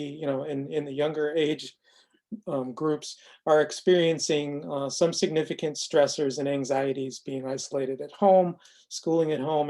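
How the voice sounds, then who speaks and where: A man with a slightly high voice presents in an informal webinar style. He explains step by step, with halting, measured phrasing, as a presenter on an online call. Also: dark tone. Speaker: presenter of a screening tool demo.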